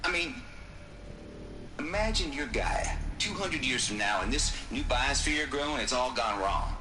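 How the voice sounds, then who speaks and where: A man's voice: mid-range voice, reverberant, close to an everyday voice. An actor playing an irreverent, folksy tech expert giving a wry, showman-like recorded briefing in a video game.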